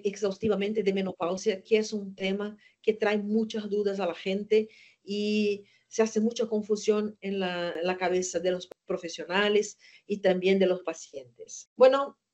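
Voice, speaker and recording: A woman's voice: medium pitch, darkish tone. A woman from Brazil with a Brazilian Portuguese accent, a gynecologist giving a webinar lecture in an earnest, emphatic way. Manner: earnest, emphatic, asks and answers own questions.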